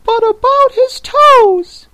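An audiobook reader voicing the Mock Turtle, a melancholy creature who sings slowly and mournfully in a high, choked voice. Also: sharp tone, no room sound, full character voice. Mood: insistent. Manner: high, slow, mournful singing. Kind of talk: storybook audiobook reading.

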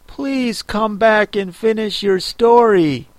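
Eager little girl done by a male reader, high lifted voice, dry close sound. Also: slow, no room sound. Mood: pleading. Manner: eager, pleading. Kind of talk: audiobook character voice.

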